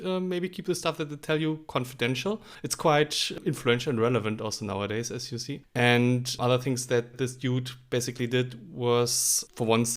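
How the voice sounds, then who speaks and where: A young man with a German accent and a mid-range voice co-hosts a relaxed podcast. He talks in an easygoing way, explaining things with frequent fillers. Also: medium pitch. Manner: easygoing, explanatory, fond of "like" and "actually". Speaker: podcast co-host. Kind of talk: casual podcast chat.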